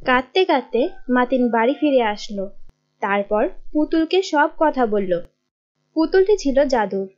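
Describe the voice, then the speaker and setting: A woman narrates an animated story from a script. Her voice is high. The recording is muffled and lo-fi. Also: unhurried. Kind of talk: scripted children's-tale narration. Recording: dull lo-fi audio.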